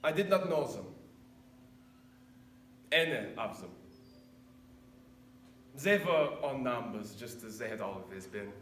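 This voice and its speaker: A man performing a dramatic monologue as a haunted, repentant older former camp officer, speaking solemnly and deliberately with weighty pauses. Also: mid-range voice, lively intonation, fairly bright tone. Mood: grave, reflective. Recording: echoey room, distant mic, faint noise.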